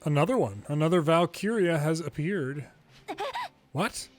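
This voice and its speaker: A man's voice: fairly high pitch, lively intonation, measured pace, dry recording. A man reading video game dialogue aloud dramatically, acting out each character's lines in turn.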